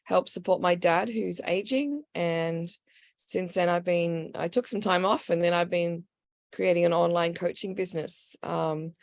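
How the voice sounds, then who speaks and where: Woman, gravelly and lowish, cheerful and self-deprecating, dry close call audio. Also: measured pace, no room sound. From Australia. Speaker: online coach, former educator. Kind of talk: casual self-introduction. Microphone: video call.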